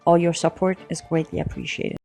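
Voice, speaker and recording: A woman's voice: fairly low pitch, flat delivery, fairly quick, dark tone, soft background music. A woman reading a scripted promotional announcement for a show.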